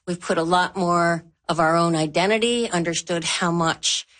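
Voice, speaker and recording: A woman from Newfoundland who works as an archaeologist, speaking slowly and thoughtfully in an interview. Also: fairly low pitch, a bit bright. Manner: slow, measured, thoughtful. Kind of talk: interview talk.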